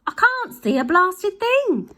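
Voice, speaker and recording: A woman voicing a greedy, gloating ice cream van man who blusters and shouts. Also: very high-pitched, sing-song, slow, voice pushed up, exaggerated voice. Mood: furious.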